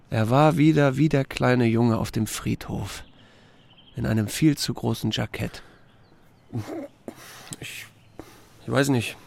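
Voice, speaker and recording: A man's voice: mid-range voice, gravelly, a little mellow. A man playing a reserved small-town sheriff in a German radio drama, narrating and speaking in terse, understated lines. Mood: guarded, uncertain.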